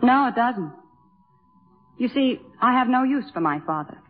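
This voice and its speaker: An actress playing a cool, troubled young woman student, measured and cutting, in 1950s radio drama style. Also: on the high side, animated, close to an everyday voice. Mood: cool, candid. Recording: tinny, narrow old radio broadcast.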